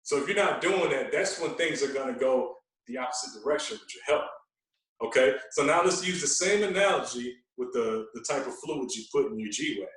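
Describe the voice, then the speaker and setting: A man's voice: medium pitch, expressive, a little fast, a bit bright, recorded from a distance. Long-winded male fitness coach, very echoey room. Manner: long-winded, explanatory, emphatic with rhetorical check-ins. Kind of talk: podcast conversation.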